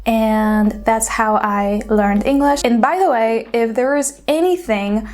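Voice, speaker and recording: A woman's voice: fairly high pitch, unhurried, a little mellow. Chatty Polish-accented young woman. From Poland. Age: 20s. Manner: chatty, frequent "like" fillers. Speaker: YouTuber. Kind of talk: casual vlog chat.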